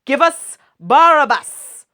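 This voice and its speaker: A woman voicing an angry crowd of male leaders in a read-aloud scripture story, shouting insistently. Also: high-pitched, lively intonation, slow pace, pitched above the performer's own voice, exaggerated voice.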